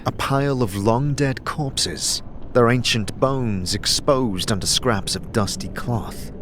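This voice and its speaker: A man narrating a scripted fantasy audio drama in a measured, theatrical way, as a game master would. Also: mid-range voice, background noise.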